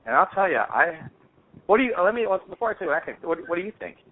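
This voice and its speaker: Fast-talking man on a dull phone recording. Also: high voice, very animated. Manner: informal, slangy, rambling. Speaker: host of a hobby radio-controlled racing call show. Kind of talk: casual phone chat, anecdotal storytelling. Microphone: phone call. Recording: dull lo-fi phone-call audio.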